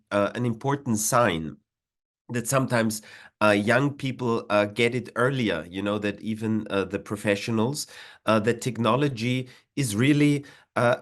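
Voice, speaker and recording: A man with an Austrian accent, a diplomat presenting over a video call, with frequent "uh" fillers and hesitations. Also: mid-range voice. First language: German. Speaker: diplomat giving a webinar talk.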